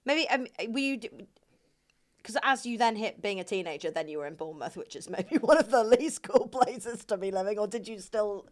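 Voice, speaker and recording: A woman in her thirties with a mid-pitched voice hosts a relaxed podcast chat. She laughs easily and is quick to agree with her guest. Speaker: podcast host. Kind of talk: casual conversation.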